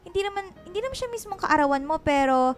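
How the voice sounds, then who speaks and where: An extremely high-voiced, bossy young woman teases her best friend in a Filipino radio drama. She is slangy and exclamatory. Soft music sits behind her. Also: lively intonation, fast. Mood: excited.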